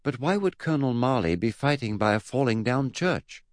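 A man's voice: medium pitch, expressive, moderate pace, dry recording, clean studio sound, pitched above the performer's own voice, lightly acted. A man voicing a patient, puzzled twelve-year-old boy in a comic children's audiobook, hesitant, polite and earnest.

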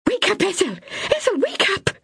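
A woman's voice: sing-song, normal speed, fairly bright tone, no room sound, clean studio sound. Woman putting on a frantic young mother. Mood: panicked. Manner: breathless, high and urgent.

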